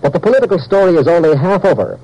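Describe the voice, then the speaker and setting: A man's voice: a little high, big pitch swings, racing, dark tone, slightly rough, rough recording. A man with an American accent reading a formal, emphatic 1950s radio network announcement.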